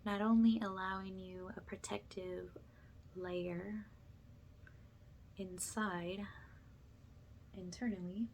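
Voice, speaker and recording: A soft-spoken woman who often drops into a whisper as she gently guides an ASMR spiritual cleansing. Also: mid-range voice, flat delivery, slow, long pauses, a little hiss or hum. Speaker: ASMR energy healer, host.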